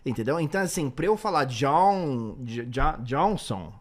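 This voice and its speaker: A man's voice: medium pitch, a little slow. A man with a slight American accent whose first language is English, a sports commentator telling stories in a casual podcast chat. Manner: animated storyteller.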